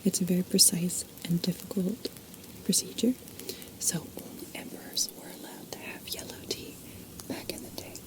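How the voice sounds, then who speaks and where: A woman whispering softly in an ASMR video, chatting and wandering off on tangents as she explains things. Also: on the low side, fairly even intonation, moderate pace, low-quality recording. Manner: soft unvoiced whisper, chatty and digressive. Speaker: ASMR host.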